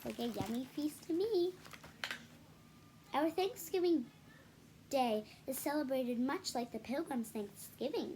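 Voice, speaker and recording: A woman's voice: sits high, expressive, a little slow, pauses often, warm tone, a little hiss or hum. A woman reading a children's picture book aloud, adding casual explanatory asides for young listeners. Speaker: read-aloud storyteller for children.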